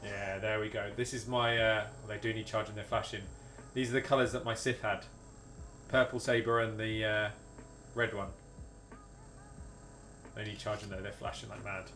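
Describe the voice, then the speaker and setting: A man's voice: fairly low pitch, flat delivery, normal speed, pauses often, fairly bright tone, faint music behind. A man with a British accent streaming a game, giving casual, chatty commentary and reacting aloud as he goes. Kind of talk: casual live gameplay commentary.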